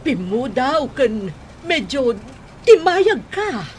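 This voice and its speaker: A woman's voice: sits high, big pitch swings, takes it slowly, a little hiss or hum, exaggerated voice. A woman playing a sociable older village schoolteacher, chatty and exclamatory in an audio drama. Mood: friendly surprise. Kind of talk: Philippine audio drama acting.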